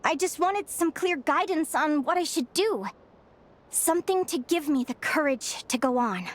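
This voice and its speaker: An actress playing a stubborn, determined young advisor in a game cutscene, earnest and reflective. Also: female voice, sits high, lively intonation, moderate pace. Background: loud music.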